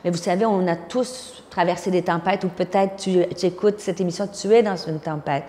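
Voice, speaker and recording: A Québécois woman in her fifties speaks as a pastor, giving her personal testimony with earnest conviction and the odd filler. Her voice sits a little low, and a long reverb trails behind her words. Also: fairly even intonation, moderate pace, dark tone. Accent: Québécois French. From Quebec, Canada.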